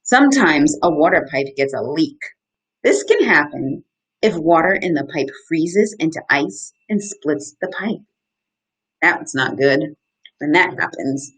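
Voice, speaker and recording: A woman in her thirties reading aloud to children as an educator, pausing to ask questions and explain in simple, encouraging terms. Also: deep voice, breathy edge, rough recording. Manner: simple, interactive, encouraging teacher talk. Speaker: outdoor educator hosting a children's story time. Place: small indoor room. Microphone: webcam at desk distance, live video stream.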